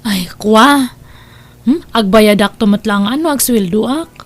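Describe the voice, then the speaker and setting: High female voice, Ilocano accent, evasive spendthrift wife, hesitant stalling, radio comedy drama acted by a woman. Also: unhurried. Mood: flustered, stalling. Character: evasive married woman who spends on credit, hides her debts.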